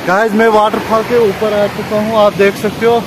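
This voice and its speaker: A man's voice: sits high, flat delivery, normal speed. A man, chatting casually to his viewers as a vlogger and repeating phrases for emphasis, on a handheld phone outdoors. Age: 20s. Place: outdoors on village roads, paths and by water. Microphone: handheld phone at arm's length. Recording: noisy outdoor phone recording.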